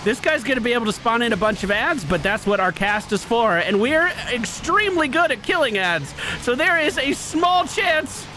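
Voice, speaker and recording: A man's voice: very high voice, big pitch swings, moderate pace, hardly any pauses, sharp tone, a little hiss or hum, low-quality recording. A man giving casual, playful video game commentary, explaining things expressively as he plays. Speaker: video game player and host.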